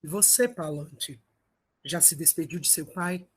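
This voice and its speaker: Woman playing a husky Trojan warrior leader. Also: sits low, unhurried. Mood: earnest, reassuring. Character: adult male Trojan warrior leader, steadfast, exasperated father. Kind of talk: audio drama acting.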